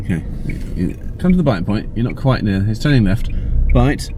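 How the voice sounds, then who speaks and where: A middle-aged man from England working as a driving instructor, giving calm instructions and gently teasing his learner in a car. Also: fairly quick. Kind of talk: casual in-car teaching and banter. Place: inside a car in town traffic. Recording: car interior with loud road rumble.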